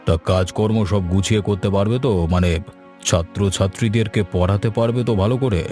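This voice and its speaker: A man's voice: fairly low pitch, normal speed, mellow, soft background music, lightly acted. A man playing a curt, condescending village headmaster in a horror-comedy cartoon, brusque and sceptical.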